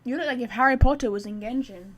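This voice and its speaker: A woman's voice: fairly high pitch, quick pace. A woman live streaming a game, chatting informally to her viewers with wry, casual commentary.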